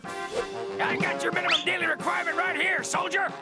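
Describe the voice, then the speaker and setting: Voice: very high voice, flat delivery, normal speed. An actor voicing a manic, wisecracking cartoon trickster with theatrical, exclamatory delivery. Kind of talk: animated cartoon acting. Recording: cartoon clip with very loud music.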